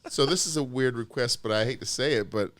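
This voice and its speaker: A man's voice: medium pitch, brisk, fairly bright tone. A man co-hosting a podcast, asking questions in a casual, chatty way. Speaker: podcast co-host. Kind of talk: casual interview chat.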